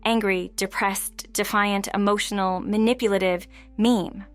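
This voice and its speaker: A woman's voice: medium pitch, steady tone, a little slow, faint music behind. A woman reading scripted narration for a biographical passage. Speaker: quotation narrator.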